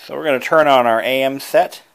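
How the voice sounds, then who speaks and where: A man's voice: medium pitch, brisk, vocal fry, fairly bright tone. A man presenting a hobby tutorial, casually talking through each step with enthusiasm.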